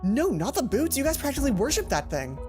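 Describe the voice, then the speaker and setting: A woman voicing an excitable, earnest schoolgirl heroine in a visual novel game. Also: high-pitched, quick pace, music in the background, voice pushed up. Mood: hurt, pleading.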